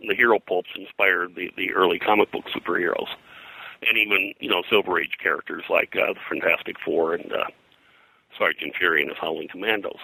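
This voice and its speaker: A man speaks in a deep register with a very bright, forceful edge and a gravelly fry. He explains history conversationally as an interview guest, in a halting way that is packed with detail. The sound is dry and close. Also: fairly even intonation, no echo, poor audio. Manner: halting, detail-heavy, informative. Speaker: interviewed publisher and pop-culture historian.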